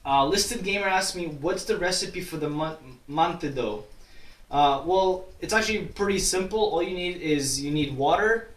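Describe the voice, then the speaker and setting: A young man from Kazakhstan with a slightly higher voice chats loosely through viewer questions, stumbling and restarting often. He sounds set back from the mic in an echoey room. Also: normal speed, fairly bright tone. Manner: rambling, many restarts and fillers. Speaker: YouTuber. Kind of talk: casual Q&A vlog. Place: at his grandmother's town in Kazakhstan. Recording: roomy echo, distant from mic.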